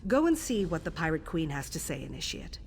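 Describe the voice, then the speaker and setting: A woman voicing a composed, sardonic assassin guild superior in a video game, speaking in a measured, dryly wry, commanding way. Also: sits low, very animated, vocal fry, slight background noise, exaggerated voice. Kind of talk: scripted video game quest dialogue.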